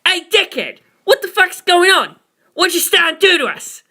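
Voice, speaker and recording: Man doing a high, snarling young gangster. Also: sing-song, a little slow, bright, forceful tone, full character voice. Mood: furious. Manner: snarling, aggressive. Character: hot-tempered, foul-mouthed young male gangster.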